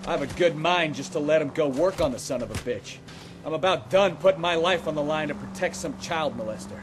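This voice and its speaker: Man playing a blunt, higher-voiced American cop. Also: a little fast, close to an everyday voice. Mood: exasperated. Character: jaded, grumbling 1940s traffic cop, blunt and hot-headed. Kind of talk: dramatic video game cutscene acting. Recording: street and vehicle noise behind dialogue.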